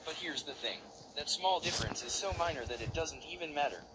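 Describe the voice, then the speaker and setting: A man hosts a commentary video, talking over clips in a taunting, insulting way. His voice sits a little on the high side, with a faint hiss of noise behind it. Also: brisk. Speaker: commentary channel host.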